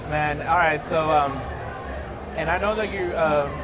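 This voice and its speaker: Rambling man host, loud noise behind. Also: on the high side, measured pace, a loud crowd. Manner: rambling, lots of fillers and repeats. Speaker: interview host. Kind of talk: casual interview. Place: casino, busy public area.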